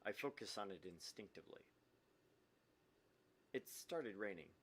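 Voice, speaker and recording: A man's voice: on the low side, expressive, a little slow, slight background noise. A man voicing an anguished, obsessive, vindictive young man in a dramatic read-aloud of a visual novel.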